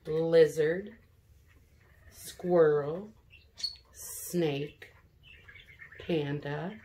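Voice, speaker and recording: A middle-aged woman reads aloud to young children as their teacher. Her voice is low and gravelly, and she goes very slowly and deliberately, sounding out words and posing simple questions. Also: warm tone, a little hiss or hum, low-quality recording. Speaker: elementary school teacher. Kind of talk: read-aloud reading lesson for young children. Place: living room at home, seated in an armchair.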